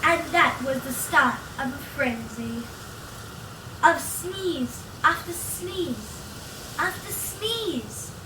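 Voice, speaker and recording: A woman reading a children's storybook aloud as host, in a theatrical, exclamatory way with drawn-out emphasis. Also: high-pitched, lively intonation, distant mic, noisy background. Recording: roomy echo, off-mic, music bed.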